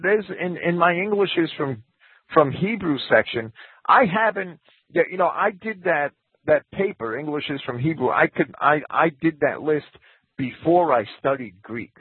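A man's voice: a little high, animated, a little slow, slightly rough, dry recording. A man guest on a radio talk show, explaining in a hesitant way with many restarts and careful caveats. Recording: phone-like radio audio.

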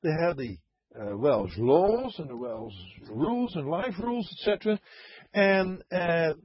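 A man calling into an online voice chat show, telling a story in a halting, rambling way with many fillers and frequent laughs. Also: fairly high pitch, sing-song, breathy edge, lo-fi sound. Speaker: caller.

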